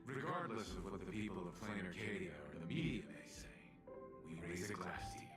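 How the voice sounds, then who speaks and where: Calm sincere gentleman, deep voice, game dialogue, music loud behind. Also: a little fast. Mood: warm congratulation.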